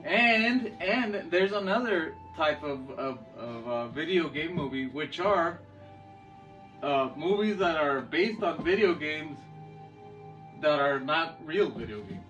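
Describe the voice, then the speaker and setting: Higher-pitched man chatting far from the mic. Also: lively intonation, slow pace, fairly bright tone. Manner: profane, slangy, jokey. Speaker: podcast co-host. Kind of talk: casual unscripted banter about movies and games. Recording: far from the mic, music behind.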